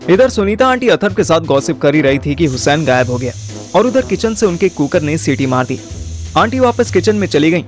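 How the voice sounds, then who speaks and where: A man's voice: a little high, very animated, brisk, soft background music. A man narrating an audio story in the first person, colloquial and dramatic, mixing in slang. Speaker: narrator and host.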